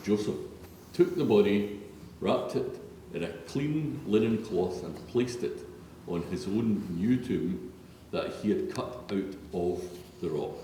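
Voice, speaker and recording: A man's voice: on the low side, expressive, slow, mellow. A man narrating scripture solemnly as a reader in a church. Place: large echoey church interior. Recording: distant, very echoey church, faint noise.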